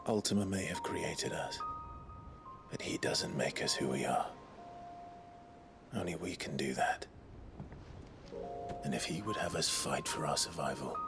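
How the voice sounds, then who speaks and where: A man acting a grave, resolute warrior and sworn protector in a video game, speaking in a measured, determined way. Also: deep voice. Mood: grave resolve. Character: gruff, resolute warrior, sworn shield to his brother. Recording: loud orchestral music behind. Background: music.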